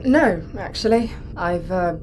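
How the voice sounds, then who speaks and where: A woman's voice: fairly low pitch, lively intonation, takes it slowly, darkish tone. An actress playing a reserved, guarded female psychologist in a naturalistic space audio drama. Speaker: crew psychologist. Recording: clear ambient noise behind.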